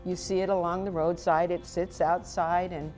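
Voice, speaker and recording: A woman's voice: fairly low pitch, level tone, normal speed, a little mellow, soft background music. An older American woman speaking informally as an interviewee, on a lapel mic in a large old building. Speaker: museum representative, interviewee. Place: large old brick livery stable, indoors.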